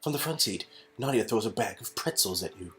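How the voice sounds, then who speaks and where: Very low-voiced woman narrating a story game. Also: animated, brisk, loud music behind the voice. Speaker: narrator and dialogue reader. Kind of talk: reading interactive game narration, informal.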